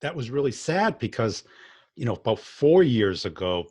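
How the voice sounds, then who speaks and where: A man's voice: medium pitch, big pitch swings, moderate pace, a little mellow, slightly rough. A man hosting a podcast, talking bluntly and plainly in casual conversation. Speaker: podcast host.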